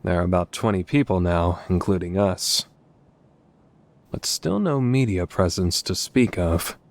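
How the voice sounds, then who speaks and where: A man's voice: low voice, lively intonation, unhurried. Man playing a pompous, paranoid young mad scientist. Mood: brooding narration. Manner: pompous, dramatic. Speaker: amateur voice actor. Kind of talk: dramatic fandub performance with first-person narration.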